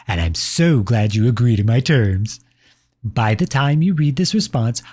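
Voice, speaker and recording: A man's voice: medium pitch, mellow. A man voicing a pompous, possessive empire personified as a cloyingly affectionate suitor in a scripted ASMR roleplay, reading out letters with sugary condescension.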